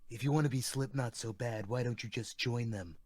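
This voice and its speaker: A man voicing a proud, gruff, edgy hedgehog antihero with a haughty, terse, dry delivery in a fan comic dub. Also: mid-range voice, a little fast, natural voice. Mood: haughty, disdainful.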